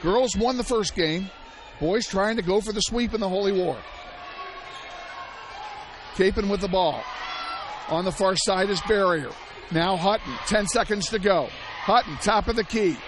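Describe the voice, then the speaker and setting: A man calling a high school basketball game play-by-play, hoarse and losing his voice, shouting the big plays and chatting between them. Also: unhurried, pauses often. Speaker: play-by-play announcer. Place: high school gym broadcast. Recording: loud gym crowd and noise behind.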